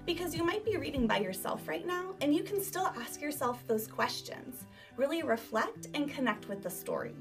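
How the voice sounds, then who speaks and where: A woman's voice: sits high, normal speed. A woman teacher giving an emphatic, instructional talk to young children, asking them questions as she goes. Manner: emphatic, animated teacher delivery with rhetorical questions. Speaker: elementary school teacher. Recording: distant microphone, music behind.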